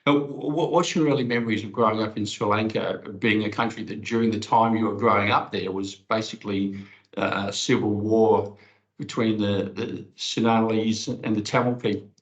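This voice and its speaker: Low-voiced, formal male interviewer. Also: steady tone, normal speed. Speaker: interviewer, organisation CEO. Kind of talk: prepared interview questions. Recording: dull, lo-fi audio.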